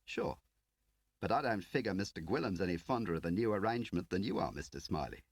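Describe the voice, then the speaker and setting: A man's voice: low voice, expressive, fast, no echo, voice pushed up. A man voicing a cocky, brash field agent on the run, talking in slang, in a spy audiobook. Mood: breezy.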